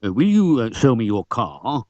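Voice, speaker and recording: A British man voicing a polite, halting amateur mechanic and racer in a storybook. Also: mid-range voice, big pitch swings, a little mellow, no echo, muffled recording, lightly acted. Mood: hesitant.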